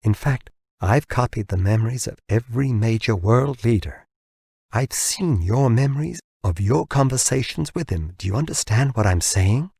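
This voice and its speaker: A man's voice: medium pitch, lively intonation, moderate pace, gravelly, a little mellow, breathy edge, no echo, studio quality. A man voicing a bitter, ranting American man who taunts and tumbles over his words in an audiobook. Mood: enraged. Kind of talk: audiobook character dialogue.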